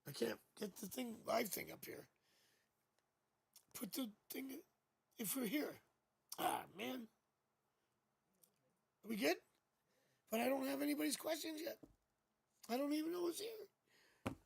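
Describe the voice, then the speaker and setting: A man with a raspy voice hosting a casual live tarot stream, chatting playfully and joking through a new studio microphone. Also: very high-pitched, expressive, a little slow, long pauses, no echo.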